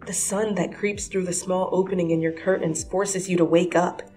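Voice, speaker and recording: A woman with a lower voice narrates a dramatic second-person story. She drops into hushed whispers and then rises breathlessly when the action picks up. Soft music plays quietly underneath. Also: fairly even intonation, normal speed. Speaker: fanfiction reader.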